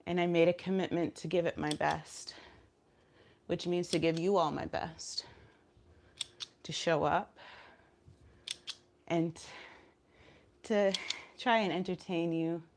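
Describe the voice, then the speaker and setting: Stuffy nasal woman vlogging, low voice, slow and tired, lots of ums, doing squats while she talks. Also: fairly even intonation, darkish tone, slight background noise. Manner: low-energy, rambling with many fillers. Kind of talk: casual chat vlog, streaming live.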